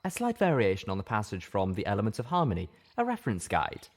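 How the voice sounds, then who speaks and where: A man voicing a grave, polite and learned unicorn stallion in an audiobook, measured and formal. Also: lowish voice, huge pitch swings. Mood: scholarly, polite.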